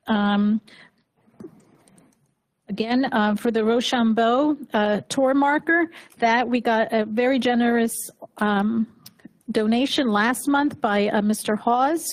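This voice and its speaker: A woman's voice: fairly high pitch, a little mellow, dry recording, poor audio. A woman chairing a council meeting, reading agenda items steadily, with hesitant asides.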